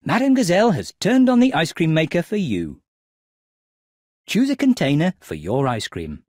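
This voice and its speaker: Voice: medium pitch, huge pitch swings, normal speed, darkish tone. A narrator of a children's game, giving scripted instructions expressively for young children. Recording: music behind the voice.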